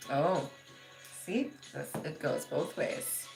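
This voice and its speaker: A woman in her thirties hosting a casual livestream, reading chat comments aloud, laughing often and trailing off into soft asides. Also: deep voice, slow pace, gravelly, over music.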